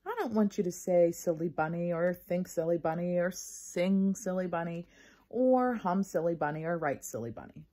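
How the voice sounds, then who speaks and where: A stern, weary schoolmaster from a children's story, voiced by a woman. He scolds firmly and deliberately, with a husky tone and emphatic rises and falls. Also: lowish voice, lively intonation, warm tone. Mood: scolding. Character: stern, weary male first-grade teacher.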